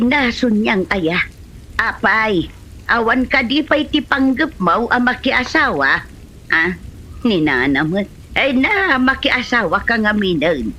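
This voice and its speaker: A woman's voice: mid-range voice, pushed and bright. Woman acting exasperated, stubbornly single village woman. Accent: Filipino. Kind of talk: comedy radio-style drama acting.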